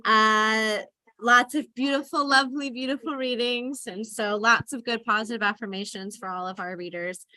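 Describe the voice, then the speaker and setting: A woman's voice: on the high side, steady tone, normal speed, bright, forceful tone, no echo. A woman helping at a live event, speaking casually and appreciatively as she relays audience comments.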